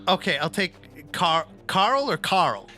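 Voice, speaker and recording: A man playing a tabletop roleplaying game, improvising casually with hesitant, halting phrasing. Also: high voice, big pitch swings, sharp tone. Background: faint music.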